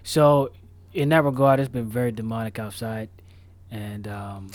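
A man's voice: lowish voice, a little slow, darkish tone, dry recording. A man co-hosting a podcast in casual chat, rambling with repeated words and slang. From Florida. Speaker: podcast co-host.

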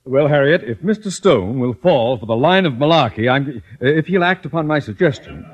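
An actor playing a pompous, scheming middle-aged school principal in an old radio sitcom, wordy and theatrical. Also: male voice, medium pitch, animated, moderate pace, close to an everyday voice. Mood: scheming, hopeful. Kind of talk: 1950s radio sitcom acting. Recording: muffled, noisy old-time radio recording.

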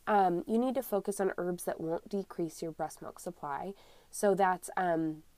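A woman's voice: on the low side, measured pace, a little mellow, dry recording. A young woman with a cold, slightly scratchy and congested, chatting casually as an herbalist podcast host with lots of ums. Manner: chatty, many ums, friendly explaining. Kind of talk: casual solo podcast talk.

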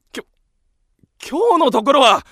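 A man's voice: very high-pitched, gravelly, fairly bright tone, husky, no echo, studio quality, exaggerated voice. A man acting in a radio drama as a pompous, blustering, cowardly knight who bellows and stammers. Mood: cowed, stammering.

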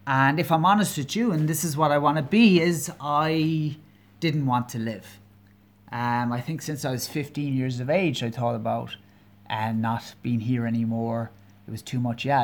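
A man of forty with a Kerry Irish accent, hosting his own podcast alone and talking in an earnest, halting way with many pauses and fillers. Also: mid-range voice. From Ireland. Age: 40s. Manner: candid, openly personal. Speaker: podcast host. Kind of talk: solo podcast monologue.

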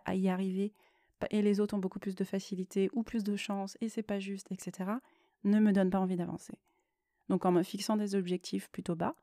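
A woman's voice: mid-range voice, mellow, no echo, clean studio sound. A woman hosting a solo podcast, speaking unscripted in a reflective, explanatory way and often correcting herself as she goes. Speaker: podcast host and novelist.

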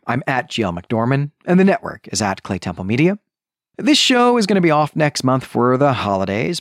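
A man speaks as a solo podcast host, reviewing in a conversational but analytical style. His mid-pitched voice moves up and down a great deal as he stresses key words. The sound is dry, with very little room in it. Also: no echo.

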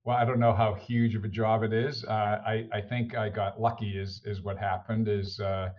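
A man's voice: lowish voice, level tone, normal speed, highs cut off. A middle-aged man with an American accent, speaking conversationally and hesitantly as a podcast interview guest. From United States. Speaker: interview guest, senior executive.

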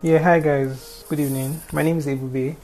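A woman's voice: low voice, fairly even intonation, normal speed, dark tone, slight background noise, rough recording. A woman with a Nigerian accent and a small, thin voice, giving an earnest off-the-cuff talk on social issues, often repeating phrases for emphasis.

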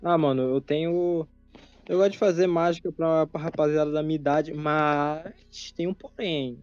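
A young Brazilian man in casual chat, excitable and slangy, swearing often. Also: a little high, normal speed, no echo, lo-fi sound, soft background music. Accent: Brazilian Portuguese.

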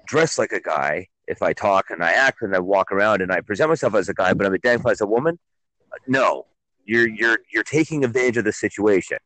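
A man's voice: fairly low pitch, a bit bright, dry recording, poor audio. A man with a Canadian accent chatting casually and bluntly as a guest on a radio call-in show. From Ontario, Canada. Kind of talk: casual opinionated chat.

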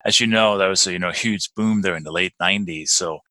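A man's voice: deep voice, fast talker, bright, forceful tone, no echo. A man in his forties with a Danish accent, answering interview questions hesitantly, with many fillers and restarts. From Denmark. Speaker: podcast guest.

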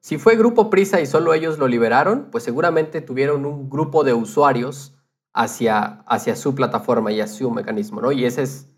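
A man co-hosts a podcast in a voice of medium pitch. He speaks informally, in a conversational way. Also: normal speed. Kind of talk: casual conversational podcast talk.

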